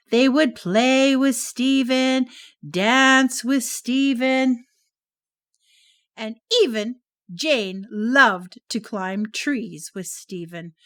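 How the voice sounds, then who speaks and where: A woman narrating a children's puppet story in an animated, sing-song manner. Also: high voice.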